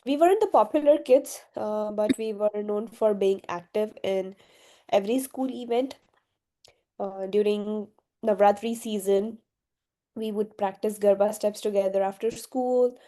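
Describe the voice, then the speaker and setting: Slow, mellow young woman from Gujarat. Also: mid-range voice. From Gujarat, India. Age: young adult. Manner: hesitant, many fillers, soft-spoken. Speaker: interviewee, university student. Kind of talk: casual interview answers.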